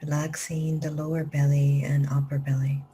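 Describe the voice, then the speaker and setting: A woman guides a meditation in a low, even voice, her slow phrases often sinking into a whisper. Her tone is mellow and unhurried. A faint hiss sits under the recording. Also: low-quality recording. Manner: slow soft-spoken instructions, drifting into whispers. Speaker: meditation teacher. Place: live group session.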